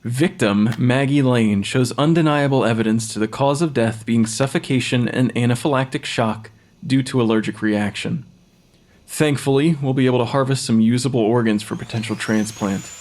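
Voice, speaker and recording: A man's voice: mid-range voice, normal speed, a little mellow, close to an everyday voice. A man playing an earnest, troubled young forensic pathologist in an audio drama, hesitant and questioning. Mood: resolved.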